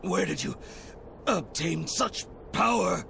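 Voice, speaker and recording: A stern swordsman game hero, gravelly and kinda high for a man, grave and accusing. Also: lively intonation, takes it slowly, pushed and bright, slight background noise, full character voice. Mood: strained, angry. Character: stern, righteous swordmaster and spy leader. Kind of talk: video game cutscene acting.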